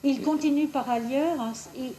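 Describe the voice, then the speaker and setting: A woman's voice: high voice, fairly even intonation, normal speed, mellow. A woman presenting a formal report and giving explanations as an official at a council meeting.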